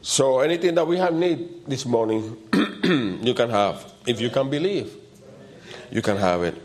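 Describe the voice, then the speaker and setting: Loud-voiced man preaching informally. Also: mid-range voice, animated, measured pace. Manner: repeats short phrases for emphasis, with interjected amens. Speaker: preacher leading a church service. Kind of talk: informal, conversational sermon.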